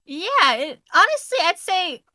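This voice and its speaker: Young female guest, high voice, chatty and hedging, dry close sound. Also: big pitch swings, measured pace, bright, forceful tone, dry recording. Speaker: streamer guest. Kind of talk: casual interview chat.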